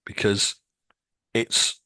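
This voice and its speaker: A man's voice: extremely slow, sharp tone, dry recording. A man co-hosting a casual music podcast, talking with many restarts and ums. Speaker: podcast co-host.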